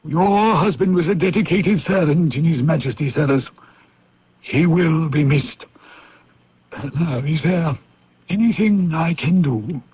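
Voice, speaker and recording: An actor playing a guarded, courteous government official in a radio drama, formal and officious with hesitant pauses. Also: male voice, a little high, breathy edge. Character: formal, guarded British War Office official, knighted gentleman. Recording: dull, muffled old radio broadcast.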